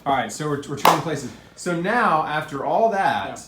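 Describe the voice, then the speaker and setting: A man hosting a casual video interview, talking informally and haltingly with plenty of fillers. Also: fairly high pitch, sing-song. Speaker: host. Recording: faint background noise.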